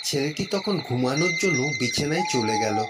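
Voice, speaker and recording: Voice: medium pitch, animated, a little fast, heavy background noise. A narrator telling a scripted children's horror story. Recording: loud music behind.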